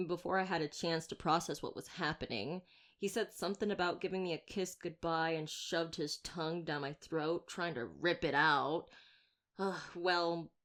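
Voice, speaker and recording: A woman playing a wry, playfully menacing young vampire slayer in an ASMR roleplay monologue, chatty, teasing and theatrical. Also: deep voice.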